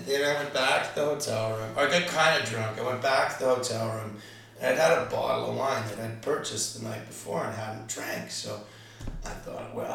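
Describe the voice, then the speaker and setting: A man's voice: mid-range voice, creaky, a bit bright, slight background noise. A man telling casual, laughing stories as a comedy podcast co-host. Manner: laughing storyteller, lots of fillers. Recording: very echoey room, mic at a distance.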